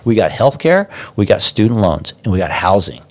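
A man's voice: lowish voice, a little fast. A middle-aged man in casual conversation as a guest, telling stories animatedly and acting out the voices of people in them. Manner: animated, acts out voices in anecdotes. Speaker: podcast guest. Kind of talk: casual storytelling.

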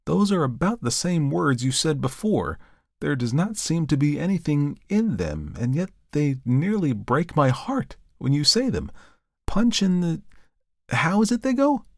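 A man's voice: fairly high pitch, sing-song, unhurried, dry recording, clean studio sound, pitched above the performer's own voice, heavily acted. A man voicing a talkative, fretful middle-aged reverend who pleads and exclaims. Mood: puzzled, eager.

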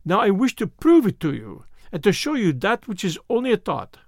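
A man voicing an excitable Frenchman at a seance in an audiobook, exclamatory and strained. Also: sits high, fairly quick, no echo, studio quality, full character voice. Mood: intense, commanding. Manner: agitated, exclamatory, cracking under strain. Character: excitable Frenchman conducting a seance, boastful, then panicking. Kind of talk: dramatic audiobook character reading.

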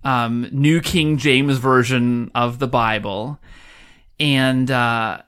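A man's voice: mid-range voice, steady tone, very slow, a bit bright, clean tone. Enthusiastic male podcast host. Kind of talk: conversational podcast hosting and interviewing.

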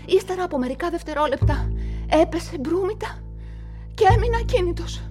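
A woman's voice: extremely high pitch, animated. An actress playing a shaken woman witness who stammers and hesitates, in a radio play with music behind. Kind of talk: radio drama dialogue.

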